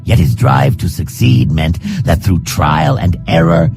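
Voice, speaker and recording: A man voicing a hard, proud reptilian assassin trainer in a fantasy game, gravelly and growling, speaking in a measured, formal, stern manner. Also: extremely low pitch, animated, over music, full character voice. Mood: reflective, measured. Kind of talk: fantasy game character dialogue.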